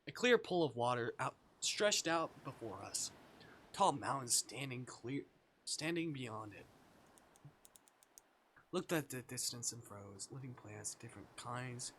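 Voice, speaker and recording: A man's voice: mid-range voice, fairly bright tone, slight background noise. A man voicing an uneasy, confused young woman who narrates a visual novel in the first person, over music. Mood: awed.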